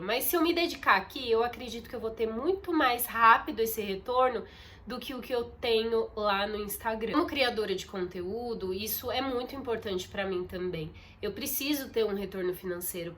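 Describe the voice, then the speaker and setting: A young woman vlogs into a phone propped on a ring light, her slightly high voice stuffy and muffled from congestion. She chats in a relaxed, confiding way, as if updating friends. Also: slight background noise. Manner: chatty, confiding, addresses viewers as "gente". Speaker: content creator. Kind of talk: casual vlog talk to camera.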